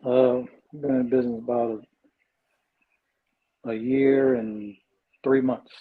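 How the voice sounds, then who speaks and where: A man's voice: medium pitch, big pitch swings, slow pace, gravelly, slightly rough. A man answering as a guest in a casual conversation, agreeable and brief, on dull lo-fi audio. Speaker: guest, small business owner being advised.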